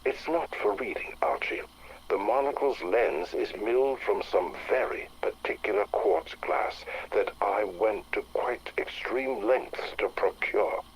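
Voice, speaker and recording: Urbane, expressive upper-class English gentleman. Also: fairly low pitch, slight background noise, low-quality recording. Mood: anxious, fussy. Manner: measured, pompous, precise. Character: urbane, fussy upper-class British gentleman explorer host. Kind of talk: period audio drama acting.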